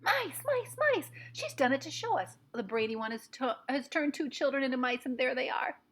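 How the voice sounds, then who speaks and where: Shrill gleeful witches, a woman voicing them. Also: animated, fairly quick, fairly bright tone. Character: crowd of excited wicked female witches. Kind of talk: storybook reading aloud.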